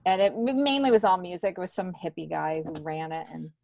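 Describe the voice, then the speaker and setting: A woman's voice: lowish voice, expressive, brisk, creaky, darkish tone. A middle-aged American woman from upstate New York, chatty and self-deprecating, telling stories casually as an interview guest. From upstate New York, United States. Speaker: interview guest, stand-up comedian and TV writer. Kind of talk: casual conversational storytelling.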